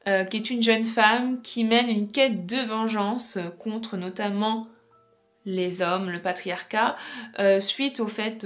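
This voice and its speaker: A woman's voice: mid-range voice, fairly bright tone. A woman presenting an informal video essay as a book vlogger, chatty and explanatory, speaking straight to her viewers.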